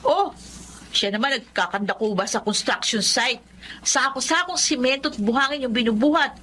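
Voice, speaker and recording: A woman's voice: a little high, moderate pace, a little hiss or hum. A young woman playing a resentful, disrespectful daughter of poor parents in a Filipino radio drama. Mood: scornful complaining. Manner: complaining, scornful. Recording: loud dramatic music behind. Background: dramatic music.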